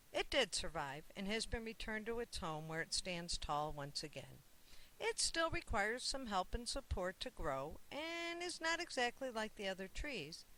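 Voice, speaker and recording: Kind older man for a storybook, played by the narrator: gentle, earnest, bright tone, light hiss. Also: medium pitch, rough recording.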